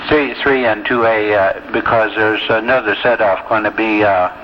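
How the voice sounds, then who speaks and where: A man working as a railroad tower operator, speaking over two-way radio in dispatch talk, with hesitant phrasing and frequent pauses. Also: on the low side, steady tone. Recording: noisy lo-fi scanner recording of radio traffic. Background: radio static and noise.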